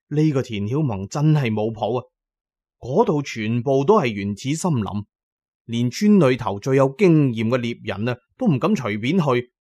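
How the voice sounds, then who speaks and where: A man's voice: mid-range voice, a little mellow, no echo, highs cut off. A man narrating a scripted first-person story in a dramatic storytelling manner. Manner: dramatic storytelling, voicing characters' lines.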